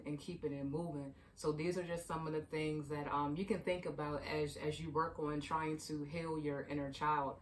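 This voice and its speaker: A woman's voice: low-pitched, far from the mic. A young adult woman from Baltimore, a life coach chatting casually and telling stories about healing your inner child. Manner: chatty, storytelling, frequent "you know what I mean". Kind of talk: casual advice vlog.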